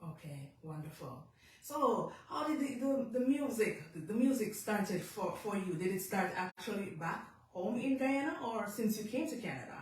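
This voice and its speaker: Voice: medium pitch, lively intonation, normal speed, a little mellow. Hyped radio DJ in a big echo. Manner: hyped, repetitive, stumbles and laughs along. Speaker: radio host and DJ. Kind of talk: radio interview hosting. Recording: very echoey, distant mic, music behind.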